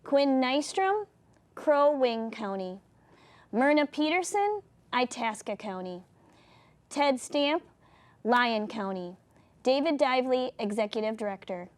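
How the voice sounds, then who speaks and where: A woman reading an open letter aloud in a formal, deliberate way, in short phrases. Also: on the high side, lively intonation. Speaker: council chair.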